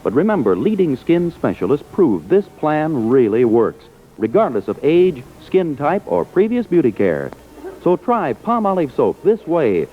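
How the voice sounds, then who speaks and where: A man announcing a vintage radio commercial in a scripted, selling style, on a tinny recording. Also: mid-range voice. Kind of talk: 1940s-50s radio advertisement.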